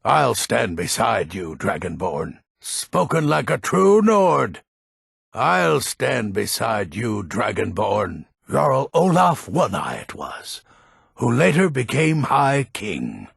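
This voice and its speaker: Gravelly, grumpy old fantasy game man. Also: mid-range voice, very animated, slow pace, no room sound, studio quality, full character voice. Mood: grave, deliberate. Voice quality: raspy, gravelly. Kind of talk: fantasy video game character acting.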